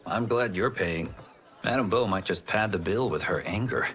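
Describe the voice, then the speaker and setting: A man's voice: on the low side, normal speed, a bit bright. A man playing an earnest, polite young fighter in a video game, friendly and modest. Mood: friendly banter. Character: earnest, polite young male fighter, good-natured rival. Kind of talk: video game cutscene acting.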